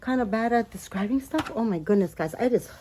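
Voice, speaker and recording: A woman's voice: a little high, expressive, a little fast, a little mellow, a little hiss or hum. Chatty woman with a Spanish-tinged accent. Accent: Spanish-influenced English. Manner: chatty, apologetic, many fillers and restarts. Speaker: doll collector vlogger. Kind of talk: casual rambling vlog. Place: home apartment.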